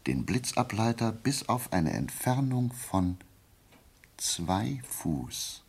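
A man acting in a radio play as a shrewd detective, explaining his deductions deliberately and didactically in a low, gravelly, husky voice. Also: big pitch swings, heavily acted. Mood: confident, explanatory. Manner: deliberate, didactic, checking in with short questions. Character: shrewd amateur detective methodically explaining a murder case.

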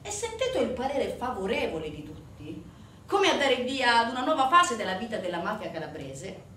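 A woman performing a theatrical monologue, telling a story conversationally to an audience with rhetorical questions and dramatic pauses. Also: a little high, sing-song, a bit bright. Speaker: stage actress performing a monologue. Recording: roomy, distant stage recording with faint noise.

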